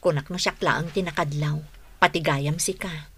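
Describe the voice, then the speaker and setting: An actress playing a nosy, sharp-tongued, sceptical wife in an Ilocano radio drama, expressive and pointed. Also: female voice, low voice, moderate pace, a little hiss or hum.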